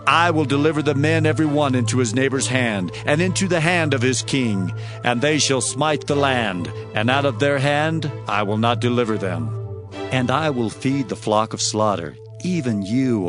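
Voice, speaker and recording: A man narrating scripture in a dramatized reading, with solemn, declamatory delivery and deliberate phrasing. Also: medium pitch, animated, music in the background. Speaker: Bible narrator. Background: musical score.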